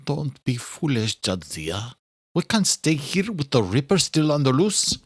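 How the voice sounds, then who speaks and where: A man's voice: medium pitch, sing-song, a little slow, breathy edge, no echo, clean studio sound, pitched above the performer's own voice. A man voicing a stern, bossy aristocratic woman, the eldest sister in an audiobook thriller. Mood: scolding.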